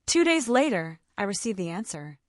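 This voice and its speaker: A woman's voice: medium pitch, sing-song, normal speed, dry recording, clean studio sound. A woman delivering dramatic first-person scripted narration for an audio story.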